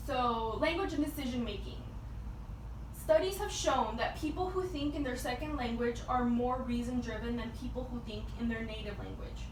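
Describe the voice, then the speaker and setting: A young woman giving a prepared persuasive speech as a student, in a halting, informal way with repeated words. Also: on the high side. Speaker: student speaker. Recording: echoey, distant mic with background noise.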